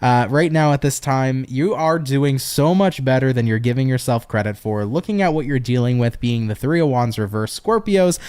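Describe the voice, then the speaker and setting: A man's voice: medium pitch, expressive, moderate pace, hardly any pauses, darkish tone, clean tone. A tired man reading tarot on a casual livestream, chatty and profane, laughing often and answering viewer comments. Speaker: tarot reader hosting a livestream.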